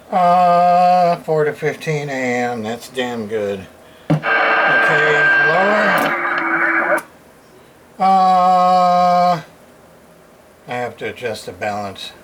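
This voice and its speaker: A man giving a casual hands-on repair demonstration, muttering to himself in halting short phrases with plain, folksy, mildly salty wording. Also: high-pitched. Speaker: radio repairer, channel host. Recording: clearly noisy room recording, background ambience.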